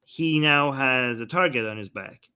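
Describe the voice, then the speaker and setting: A man hosting a casual news discussion, talking in a conversational, halting way with frequent restarts. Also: medium pitch, bright, forceful tone, clean tone. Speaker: show host.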